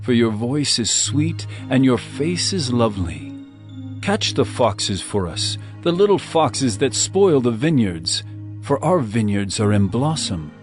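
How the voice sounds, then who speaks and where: An actor playing an ardent, adoring royal bridegroom in a dramatized scripture reading, with poetic, romantic delivery. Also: male voice, medium pitch, sing-song, unhurried, soft background music. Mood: ardent, coaxing.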